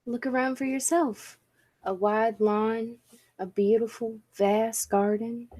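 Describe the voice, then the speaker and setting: A woman playing a bold, absorbed professor and inventor in a 1940s-style radio play, with a deliberate, commanding, theatrical delivery. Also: fairly high pitch, steady tone, mellow. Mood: calm wonder. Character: Dudley, bold, absorbed scientist and professor inventor of a time machine.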